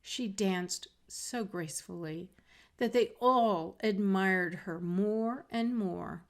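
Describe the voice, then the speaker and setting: A woman reading a fairy tale aloud as a storyteller, in a measured, expressive way. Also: medium pitch. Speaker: storybook reader. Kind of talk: scripted read-aloud narration of a fairy tale.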